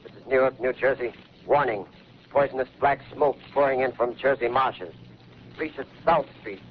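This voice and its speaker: An actor playing an urgent radio operator in a 1930s radio drama, giving clipped warnings. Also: male voice, mid-range voice, moderate pace, slight background noise. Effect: radio transmission. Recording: tinny old radio line.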